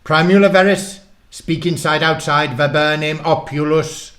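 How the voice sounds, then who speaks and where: A man voicing an eccentric, excitable wizard in a storybook, with grandiose, theatrical, archaic speech. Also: a little high, unhurried, voice pushed up. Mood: incanting.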